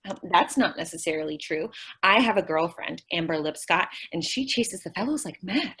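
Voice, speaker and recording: A woman's voice: lowish voice, expressive, normal speed, fairly bright tone, husky. A woman playing a sweet, naive, scatterbrained young shop clerk in an old-time radio comedy, earnest and guileless in her delivery. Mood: naive, cheerful. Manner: earnest, guileless, literal-minded.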